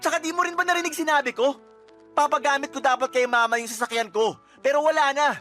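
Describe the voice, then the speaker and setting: High-voiced, exasperated boyfriend. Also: male voice, fast talker, pushed and bright, soft background music. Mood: angry, indignant. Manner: emphatic, accusing, exasperated. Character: fed-up, resentful boyfriend breaking up with his girlfriend. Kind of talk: Tagalog radio romance drama acting.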